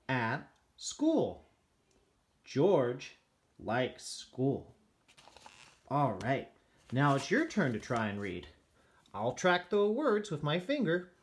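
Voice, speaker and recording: A man, a first grade teacher, reading aloud for beginning readers in a deliberate way, separating each word. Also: a little high, big pitch swings.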